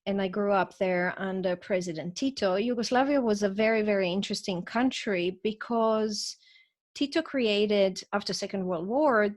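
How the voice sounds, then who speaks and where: A woman from Croatia talks as a podcast guest, eager and quick in her storytelling. Her pitch sits in the middle for a woman. She spins long stories with an easy flow. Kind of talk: interview conversation.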